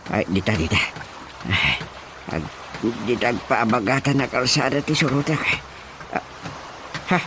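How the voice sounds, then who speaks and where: A man with an Ilocano accent playing a bumbling, excitable village chairman in a comedic radio drama, stammering, muttering and exclaiming. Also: medium pitch, background noise, natural voice.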